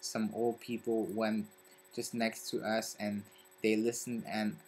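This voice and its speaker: A young man from Belgium with a French accent, talking casually to camera in a halting way and searching for his words. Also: lowish voice, monotone, a little mellow, a little hiss or hum. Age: young adult. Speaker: vlogger. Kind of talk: casual vlog talk to camera.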